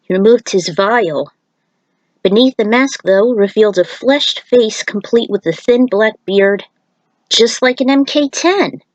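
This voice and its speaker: A woman's voice: medium pitch, unhurried, dry recording, low-quality recording. Dry-sounding woman narrating a story.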